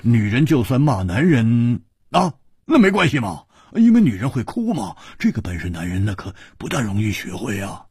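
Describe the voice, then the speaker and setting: A man performs a brash storybook rascal who likes to drink and tease. He has a rough voice that swings widely, full of mutters, stammers and drawn-out interjections. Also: mid-range voice, big pitch swings, a little mellow, no room sound, studio quality, heavily acted. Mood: sheepish. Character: brash, teasing male drinker, a blustering rascal.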